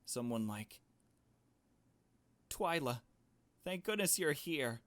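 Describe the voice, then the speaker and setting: A man voicing a frightened, earnest kidnapped young adventurer in a visual novel, speaking in a halting, pleading way. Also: fairly high pitch, expressive, a bit bright, dry recording, voice pushed up. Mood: pleading, apologetic. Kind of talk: dramatic visual novel read-aloud.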